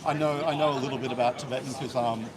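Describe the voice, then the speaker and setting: Man, student, quick, chatty, says "like" a lot, noisy street crowd. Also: mid-range voice, fairly even intonation. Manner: chatty, fast, uses "like" a lot. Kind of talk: casual street conversation. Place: busy outdoor street. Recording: outdoor crowd and traffic noise.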